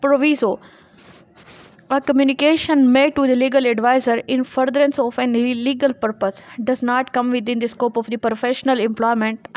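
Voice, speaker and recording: A woman with a Pakistani accent delivers an explanatory lecture in a fairly high-pitched voice. She is didactic, restating points and asking questions to make them clear. The sound is very dry, with hardly any room to it. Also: no room sound. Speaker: law lecturer. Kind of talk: explanatory lecture, reading legal text aloud and explaining it.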